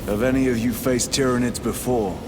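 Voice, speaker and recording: A man's voice: medium pitch, fairly even intonation, fairly quick, background noise, close to an everyday voice. An actor playing a terse male warrior lieutenant, centuries old, who answers in curt lines in a game.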